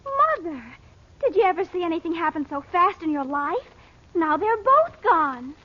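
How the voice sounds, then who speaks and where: An eager, high-voiced young girl. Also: very animated, normal speed. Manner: eager, questioning. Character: earnest, inquisitive young daughter, a girl. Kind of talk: 1940s radio serial acting. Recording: muffled old radio recording.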